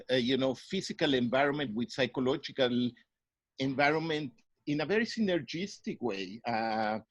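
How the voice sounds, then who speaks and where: A man's voice: medium pitch, no echo. A middle-aged man from Mexico with a Mexican accent, answering interview questions earnestly and often restarting his words. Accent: Mexican Spanish. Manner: earnest, searching for words, repeats himself. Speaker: psychologist guest. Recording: dry, dull lo-fi sound.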